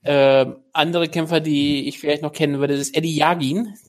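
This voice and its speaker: A man's voice: fairly high pitch, fairly even intonation, normal speed. A man hosting a casual podcast chat, rambling and searching for words with frequent fillers. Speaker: podcast host. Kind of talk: casual chat about MMA news.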